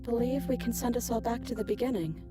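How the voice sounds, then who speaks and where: An actress playing a calm, otherworldly princess goddess who speaks as many selves, in a dramatic video game. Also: female voice, a little high, fast talker, lightly acted. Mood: grave, persuasive. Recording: loud music behind the voice.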